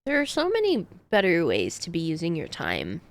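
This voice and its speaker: Lively, expressive woman streamer. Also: fairly low pitch, moderate pace, no room sound. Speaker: livestreamer. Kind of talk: casual gaming stream chat.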